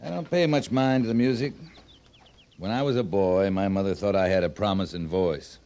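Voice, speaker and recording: An actor playing a drily humorous frontier cavalry captain in a radio western, with an understated, folksy, deadpan manner. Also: male voice, low-pitched, moderate pace. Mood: dry, easygoing. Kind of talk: 1950s radio western acting.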